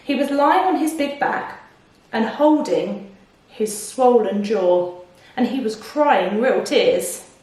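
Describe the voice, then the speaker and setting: Expressive woman storyteller in an echoey room. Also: on the high side. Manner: expressive, pausing to question young listeners. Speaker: teacher reading aloud to children. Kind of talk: children's storybook narration with asides. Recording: very echoey room, distant microphone.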